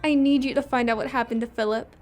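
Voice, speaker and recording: A woman's voice: on the high side, fairly even intonation, fast talker, faint music behind, natural voice. A woman playing a pleading 1940s femme fatale in a noir radio drama.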